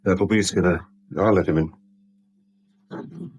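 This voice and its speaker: An actor playing an anxious, cornered bank official in a 1970s radio drama, speaking tersely and hesitantly. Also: male voice, low-pitched, animated, takes it slowly, darkish tone, highs cut off, slight background noise, exaggerated voice. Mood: uneasy. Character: anxious, cornered male bank official, conspiring under pressure.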